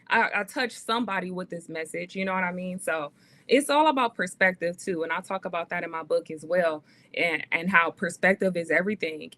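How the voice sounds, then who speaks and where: A woman's voice: fairly low pitch, a bit bright. Earnest woman in her thirties. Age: 30s. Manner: earnest, faith-driven, many "um"s and "like"s. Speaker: guest, actress and author. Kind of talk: casual podcast interview.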